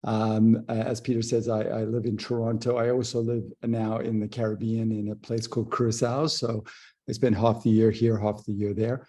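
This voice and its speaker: A man born in Zambia introducing himself on a video call, halting often with fillers and restarts. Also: fairly low pitch, level tone, mellow. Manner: hesitant, many fillers and restarts. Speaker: fintech leader and investor.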